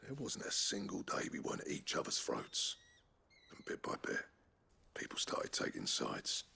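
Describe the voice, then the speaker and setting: Gravelly, weary master smith. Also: male voice, extremely low pitch, wildly swooping pitch, normal speed, sharp tone, full character voice. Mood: bitter, regretful. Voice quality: gravelly, husky. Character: weary, proud male master smith, guilt-laden exile. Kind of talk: video game drama acting.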